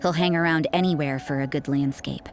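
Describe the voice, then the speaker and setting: An actress playing a cool, cynical adult nun in a video game, talking in a dry, aloof and sarcastic way. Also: female voice, low-pitched, steady tone, fairly quick, background noise. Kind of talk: video game cutscene dialogue.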